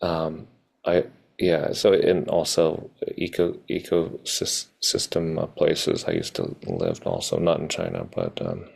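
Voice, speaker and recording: A man with a gravelly, husky voice, sharing reflections informally in halting fragments on a video call. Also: extremely low pitch, a little mellow. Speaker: participant sharing at an online gathering.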